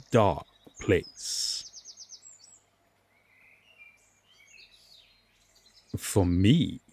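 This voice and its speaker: A man playing a brooding, courteous Fae lord in a roleplay audio drama, guarded but reluctantly kind, speaking formally with dramatic pauses. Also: deep voice, darkish tone, exaggerated voice. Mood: grave, guarded.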